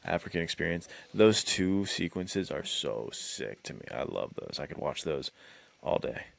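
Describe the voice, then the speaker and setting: A man's voice: low voice, expressive, moderate pace, gravelly. A man talking casually about films as a podcast co-host, laid-back and enthusiastic, with plenty of slang. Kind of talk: casual film discussion chat.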